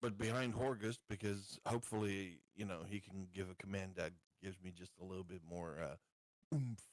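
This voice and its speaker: A man's voice: sits low, steady tone, normal speed, no room sound, rough recording. A man chatting casually as a player in a tabletop game.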